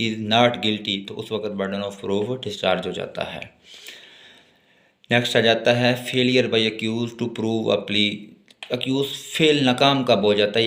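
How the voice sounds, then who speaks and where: A man with a Pakistani accent delivers a law lecture in a fairly low voice. His intonation barely moves and his overall pace is very slow. He reads from notes deliberately and explains them as he goes. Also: fairly bright tone. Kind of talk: lecture, reading English legal notes aloud and explaining them.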